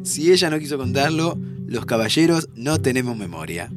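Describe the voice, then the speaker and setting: An actor with an Argentine accent playing a playful, evasive but fond time traveller in a naturalistic audio drama. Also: male voice, medium pitch, sing-song, moderate pace, lightly acted. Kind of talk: naturalistic audio fiction acting. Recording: music under the voice.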